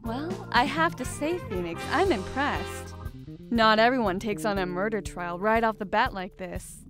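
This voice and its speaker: A woman's voice: on the high side, expressive, unhurried, music in the background. A woman acting a composed, encouraging senior attorney in a game fandub. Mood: calm, curious.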